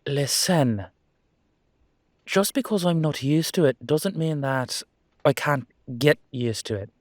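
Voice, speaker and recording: Proud, defensive otherworldly gentleman voiced by a male actor, formal and insistent, pushed louder, dry roleplay-drama recording. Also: fairly high pitch, slow pace, no echo. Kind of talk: roleplay audio drama, one-sided conversation.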